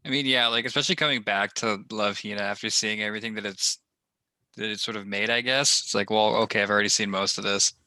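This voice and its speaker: A man's voice: lowish voice, fairly even intonation, fast, sharp tone, no room sound. A man on a casual podcast panel, speaking offhandedly and trailing off. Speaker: podcast co-host. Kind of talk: casual group chat.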